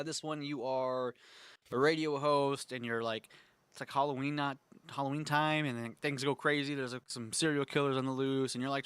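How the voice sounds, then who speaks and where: A young man with a congested throat, chatting casually as a gaming host, rambling with lots of fillers and frequent throat clearing. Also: medium pitch, a bit bright, dry recording. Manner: rambling, filler-heavy, enthusiastic. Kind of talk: casual tier-list chat.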